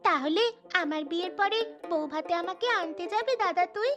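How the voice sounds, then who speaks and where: A woman's voice: extremely high pitch, fairly quick, a bit bright, lo-fi sound. A woman voicing an inquisitive little girl in a children's cartoon, with a cute, coaxing manner. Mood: curious. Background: background music.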